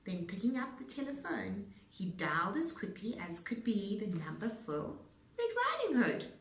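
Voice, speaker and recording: A woman storyteller reading children's rhymes aloud in a theatrical, rhythmic, deliberate manner. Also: mid-range voice, sing-song. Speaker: storyteller, speech-language pathologist. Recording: roomy echo, distant mic.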